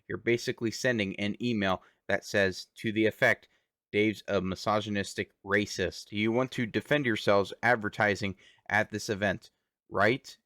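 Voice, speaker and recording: A man's voice: on the low side, steady tone, normal speed, pushed and bright, no room sound, studio quality. A man giving news reaction commentary as the host of a show.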